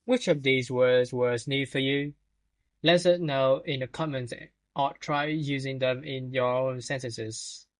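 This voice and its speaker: A man's voice: mid-range voice, steady tone, a little slow. A man co-hosting a scripted vocabulary lesson, reading out definitions with small stumbles. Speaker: podcast co-host.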